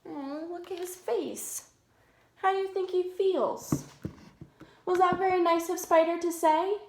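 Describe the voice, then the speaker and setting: A woman's voice: very high-pitched, fairly even intonation, measured pace, darkish tone, a little hiss or hum. A woman preschool teacher reading aloud to young children, talking simply and putting questions to them. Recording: echoey room.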